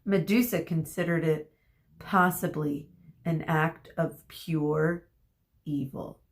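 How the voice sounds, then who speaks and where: A woman reading a children's story aloud expressively as a teacher, pausing to explain words and ask questions. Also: deep voice, steady tone.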